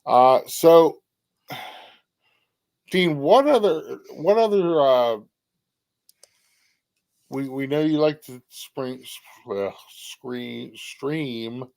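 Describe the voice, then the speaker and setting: A man's voice: mid-range voice, very slow, vocal fry, long pauses, darkish tone. A man hosting a live stream, chatty, welcoming viewers by name and sometimes tripping over his words. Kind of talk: casual live-stream chat.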